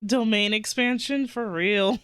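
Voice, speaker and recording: A woman's voice: a little high, measured pace, smooth, no echo. A woman streaming casual live reactions to a game, exclamatory and giggly, with casual swearing. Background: soft game music.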